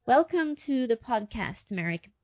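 A woman's voice: fairly high pitch, sing-song, measured pace, muffled recording. A woman hosting a podcast, interviewing a guest in a friendly, chatty way. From United States. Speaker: podcast host.

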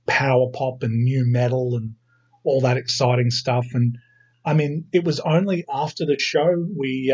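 A man's voice: medium pitch, a little mellow, slightly rough, dull audio. A man in his thirties with an Australian accent, chatting as a podcast guest with self-deprecating humour. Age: 30s. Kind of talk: casual chat.

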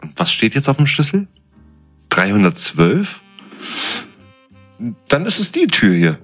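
A man's voice: mid-range voice, huge pitch swings, unhurried, fairly bright tone, faint music behind. A man playing a laid-back, slightly self-important travelling buddy in a comedy audio drama, chatty and casual. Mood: laid-back, joking.

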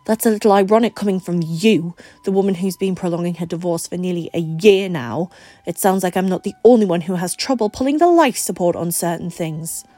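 Woman reading as a defensive, mellow-voiced young man. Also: lowish voice, brisk, dry recording, soft background music, close to an everyday voice. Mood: angry. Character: anxious, defensive, self-pitying young man in a story.

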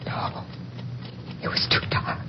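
An actress playing a terrified farmer's wife, hushed, breathy and pleading, in 1950s radio drama style. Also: female voice, slow, natural voice. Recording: muffled vintage radio recording, music behind.

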